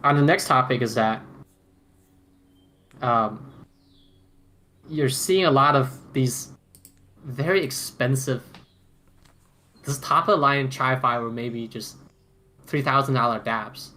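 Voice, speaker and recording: A man's voice: mid-range voice, takes it slowly, long pauses, sharp tone. A man co-hosting a casual roundtable podcast about gear, talking emphatically with repeated phrases and casual swearing. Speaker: podcast co-host.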